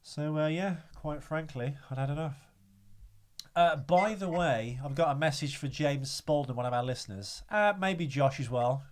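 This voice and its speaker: A man's voice: a little high, normal speed. A man hosting a podcast in casual chat, telling things in a rambling, wryly understated way. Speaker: podcast host.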